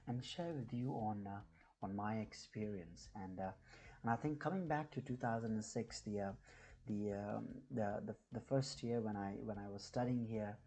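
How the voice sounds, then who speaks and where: A man from New Delhi with an Indian accent and Hindi as his mother tongue, chatting casually and unscripted to viewers, haltingly and often repeating his words. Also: medium pitch, warm tone. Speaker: YouTube vlogger.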